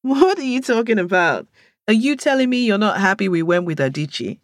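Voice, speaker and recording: A sharp-tongued, teasing woman played by a female audiobook reader, always needling. The voice is pitched up and highly animated, in a dry recording. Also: no room sound, close to an everyday voice. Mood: feigned innocence. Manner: quick retorts, mock innocence.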